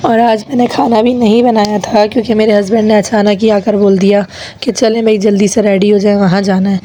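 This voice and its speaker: A woman in her thirties with a hoarse voice from a sore throat, chatting to viewers as she narrates her family vlog. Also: mid-range voice, level tone, fairly quick, warm tone, a little hiss or hum. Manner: chatty, addresses viewers directly. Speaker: vlogger. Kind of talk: casual vlog voice-over narration.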